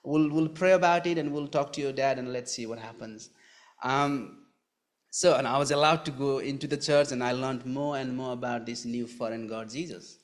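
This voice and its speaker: A man's voice: mid-range voice, moderate pace. Earnest Nepali-accented man telling his story. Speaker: guest giving his testimony. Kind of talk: personal storytelling in a live interview.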